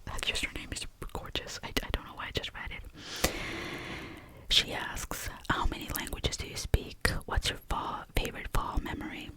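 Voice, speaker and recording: A young woman from Albania, whose first language is Albanian, whispers through a rambling ASMR question-and-answer session full of fillers. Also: moderate pace. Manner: soft, rambling and digressive, with many fillers. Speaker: ASMR creator.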